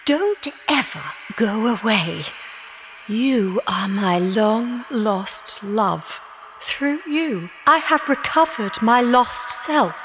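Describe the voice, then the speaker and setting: Slow, intense romantic young lover. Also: female voice, a little high, lively intonation, background noise, heavily acted. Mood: rapturous. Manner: declarative, romantic, theatrical. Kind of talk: poetic audio drama acting.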